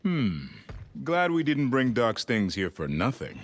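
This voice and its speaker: A man playing a gruff, good-natured adventurous creature in a video game, talking in a wry, casual, confident way. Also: mid-range voice, huge pitch swings, a little slow. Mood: pleased. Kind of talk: video game cutscene voice acting.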